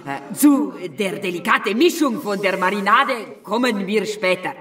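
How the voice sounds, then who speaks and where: A woman's voice: mid-range voice, lively intonation, moderate pace, a bit bright, recorded from a distance, natural voice. A woman playing a gleefully cruel witch cook who relishes every word, theatrical, in a children's radio play. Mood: relishing.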